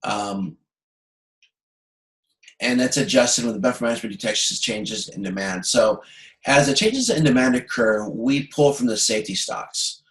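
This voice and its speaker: A man giving an informal lecture as a course instructor over a video conference, explaining haltingly with frequent pauses and self-corrections. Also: mid-range voice, animated, a bit bright, low-quality recording.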